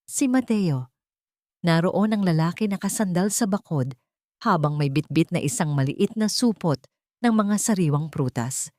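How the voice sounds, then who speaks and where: A woman reads a dramatic scripted story in an emotive, measured way. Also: fairly low pitch, a little mellow, dry recording, studio quality. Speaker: story narrator.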